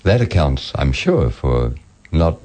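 A man's voice: very deep voice, very animated, slow, darkish tone. A man interviewing a guest, asking questions in formal, wordy phrasing.

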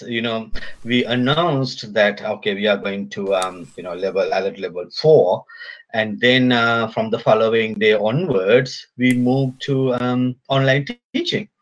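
A man's voice: fairly low pitch, a bit bright. Sri Lankan-born man on a dull line. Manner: halting, many fillers and restarts. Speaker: guest, polytechnic lecturer. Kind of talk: casual interview over a remote call.

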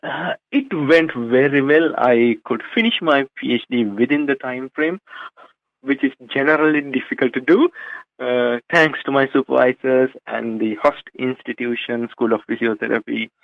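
A man in his thirties with a Sri Lankan accent, whose native tongue is Sinhala, talks hesitantly, pausing on fillers. His voice has a gravelly edge. It comes through a dry, narrow, phone-like line. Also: mid-range voice, no echo. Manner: hesitant, many fillers and restarts. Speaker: radio show host, guest. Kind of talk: interview answers.

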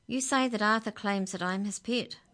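A woman voicing a small talking budgie in a storybook, high-pitched, earnest and insistent. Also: normal speed, fairly bright tone, natural voice. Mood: earnest, steadier. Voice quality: high-pitched, squeaky cry. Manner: agitated, insistent.

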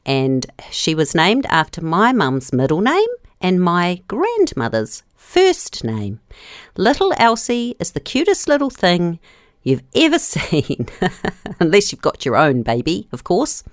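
A woman's voice: lowish voice, wildly swooping pitch, a little slow, no echo, studio quality. Playful sing-song woman host. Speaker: children's radio host. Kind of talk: casual presenting to children.

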